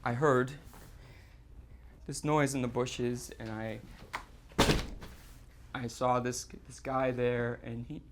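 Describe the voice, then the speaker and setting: An actor playing a distraught, guilt-ridden man on stage, confessing in halting, repetitive, stammered phrases in a live theatre monologue. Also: mid-range voice, flat delivery, noisy background. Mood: haunted, hesitant. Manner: halting, stammering, repeating himself.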